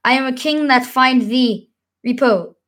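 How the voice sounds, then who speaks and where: Woman reading as a slow-spoken, brooding Shakespearean king. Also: high-pitched, fairly bright tone. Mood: questioning, scornful. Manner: declamatory, halting, restarting stumbled words. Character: troubled young warrior king, brooding on burdens of rank. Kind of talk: Shakespearean verse soliloquy.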